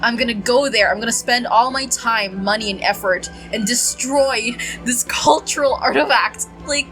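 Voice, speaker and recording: Bright-voiced, exasperated woman gamer. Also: on the high side, faint music behind. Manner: halting, repetitive, exasperated, swears freely. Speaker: gaming streamer. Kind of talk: casual reactive game commentary.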